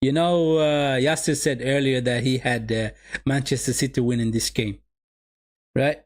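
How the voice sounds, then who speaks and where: A man's voice: medium pitch, fairly even intonation, a little slow. A man whose first language is Somali, running a live football watch-along and calling the game for his viewers, often repeating his phrases. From Sweden.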